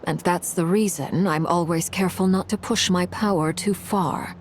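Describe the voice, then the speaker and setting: Calm formal game villainess type, lowish woman's voice, measured and controlled, done by a woman. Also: a little hiss or hum, natural voice. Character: cold, composed noble woman commander. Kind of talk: video game voice acting.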